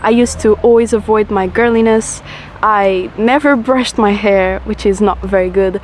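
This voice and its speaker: A young woman in her twenties with a naturally low voice talks in a relaxed, rambling, confessional way while smoking a cigarette. Her pitch sits mid-range, with faint traffic noise outdoors behind her. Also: normal speed, rough recording. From Portugal. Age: 20s. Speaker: vlogger. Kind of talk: casual chat to camera.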